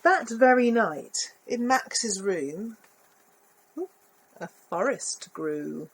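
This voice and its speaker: A woman reading a picture book aloud to children, expressive, with little asides about the pictures. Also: mid-range voice, drawn-out words, husky. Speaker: volunteer story reader.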